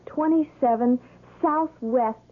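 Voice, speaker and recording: Casting: keen young radio-play wife, high voice, narrow telephone-like broadcast sound. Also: female voice, slow pace. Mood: eager, insistent. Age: young adult. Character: eager young wife, bright and practical. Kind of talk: radio drama acting. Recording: thin, band-limited radio broadcast.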